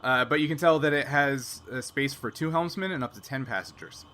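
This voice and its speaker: A man narrating an improvised sci-fi tabletop game as game master, conversational and pausing to find his words. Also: medium pitch, sharp tone.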